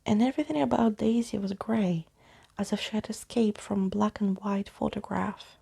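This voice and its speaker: A woman reading a story aloud in a soft ASMR style, hushed and often half-whispering. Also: medium pitch, moderate pace, vocal fry, slightly rough.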